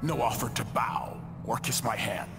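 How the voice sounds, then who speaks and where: An actor playing a shrewd male body double of a duke in a video game, speaking in a measured, wry, theatrical way. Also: mid-range voice, fairly even intonation, gravelly, in a large hall, music in the background, natural voice. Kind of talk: video game cutscene acting.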